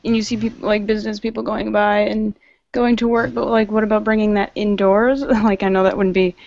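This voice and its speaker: Gravelly-voiced woman from New Hampshire. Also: medium pitch, flat delivery, fairly quick, darkish tone, no echo. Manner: curious, lots of "like". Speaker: caller guest. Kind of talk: casual chat. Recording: dry dull lo-fi audio.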